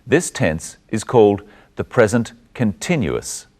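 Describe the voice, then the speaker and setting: A man presenting a scripted English lesson in a deliberate, instructional manner. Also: fairly low pitch, big pitch swings, a little mellow. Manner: didactic, deliberate explaining.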